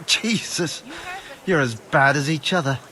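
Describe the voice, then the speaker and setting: A man's voice: fairly high pitch, animated, measured pace, music in the background. A man voicing a scornful, grumbling medieval armourer in a video game, irritable and indignant. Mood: grudging, sarcastic. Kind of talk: dramatic game cutscene dialogue.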